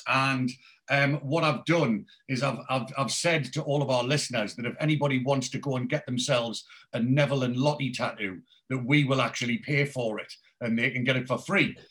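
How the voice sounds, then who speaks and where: A man's voice: mid-range voice, normal speed, fairly bright tone. A man in his forties with a Geordie accent, hosting a podcast interview and rambling through many restarts and fillers. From North East England. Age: 40s. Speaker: podcast host. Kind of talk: casual interview.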